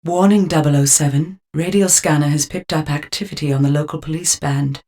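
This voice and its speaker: A woman voicing a poised, flirtatious car computer in a spy video game, giving measured automated announcements. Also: deep voice, fairly even intonation, darkish tone. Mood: cool, measured.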